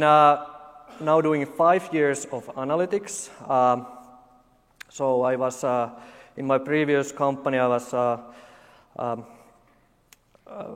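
A man from Finland in his forties with a Finnish accent, giving a conference talk full of dry, deadpan jokes and halting fillers. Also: medium pitch, smooth. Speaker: conference speaker. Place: large conference hall. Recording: long hall reverb.

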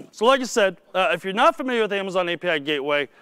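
A middle-aged man who is losing his voice gives a technical conference talk, clearing his throat and cracking self-deprecating jokes with the audience. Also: sits high, lively intonation, fast talker, pushed and bright, no echo. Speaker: conference speaker.

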